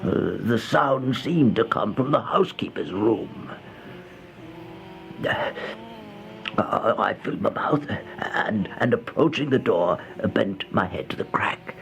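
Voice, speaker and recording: A feeble, once-proud male drifter tells his tale of dread in an old radio horror play. He speaks slowly in a creaky voice, stammering and dropping into breathy whispers. There is light noise on the aged recording. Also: on the low side, lively intonation, a bit bright, full character voice, rough recording. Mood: hushed dread. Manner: halting, stammering, breathy dramatic delivery with gasps and whispers. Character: starving, feeble, once-proud male vagrant turned housebreaker, haunted and fearful.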